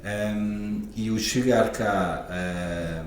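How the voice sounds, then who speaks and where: Deep-voiced Lisbon man in an echoey room. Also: steady tone, very slow, a crowd in the background. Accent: European Portuguese, Lisbon area. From Portugal. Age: 40s. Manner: reflective, many hesitations. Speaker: osteopath guest. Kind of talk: casual interview talk. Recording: echoey room, off-mic, faint ambience.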